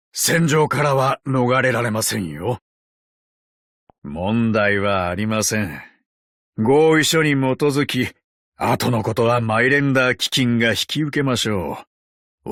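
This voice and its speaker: A man voicing a courteous, ancient tin man agent in a video game, calm and sardonic, with a polite, measured, quietly teasing delivery. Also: medium pitch, no echo, studio quality. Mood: composed, admiring. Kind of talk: Japanese video game character voice lines.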